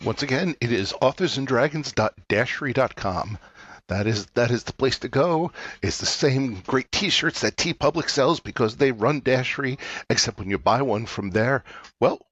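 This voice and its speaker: Husky guy chatting casually on a podcast, dry and kinda muffled mic. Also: mid-range voice, moderate pace, a bit bright, no echo. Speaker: author and podcast panelist. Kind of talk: casual chat.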